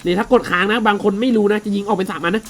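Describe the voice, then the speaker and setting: High-pitched man commentating over a game. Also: rapid-fire, a little hiss or hum. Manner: exclamatory, crude slang, chatty to viewers. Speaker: gaming video host. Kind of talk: casual game commentary with loosely dubbed dialogue.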